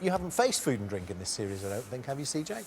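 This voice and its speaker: A middle-aged man hosting a TV quiz in a studio, reading questions briskly and teasing the contestants. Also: medium pitch, very animated, noisy background. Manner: brisk, teasing, reads multiple-choice questions. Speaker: quiz show host.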